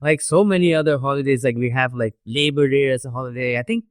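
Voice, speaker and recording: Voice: medium pitch, fast, dry recording, studio quality. A young adult podcast host with a Malaysian accent, chatting casually and peppering the talk with "like". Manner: chatty, frequent 'like'. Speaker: podcast host and international student.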